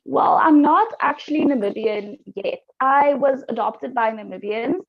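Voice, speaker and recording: A woman's voice: high voice, a little slow. A woman in her twenties who grew up in South Africa, a guest telling her story casually on a video call. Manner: chatty, storytelling. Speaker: guest, pageant YouTuber. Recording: dull lo-fi call audio.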